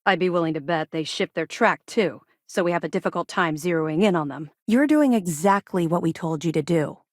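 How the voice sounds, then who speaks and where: An actress playing a frank, dutiful military officer in a video game, mixing crisp formal address with wry, candid informality. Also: female voice, on the low side, expressive, a little fast, no echo, clean studio sound. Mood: businesslike, confident. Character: frank, dutiful military officer aboard a space patrol ship.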